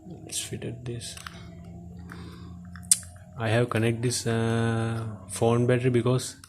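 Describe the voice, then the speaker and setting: A man giving an unscripted product demonstration in halting, hesitant short phrases, as the presenter of a gadget teardown video. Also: mid-range voice. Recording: clear steady background noise.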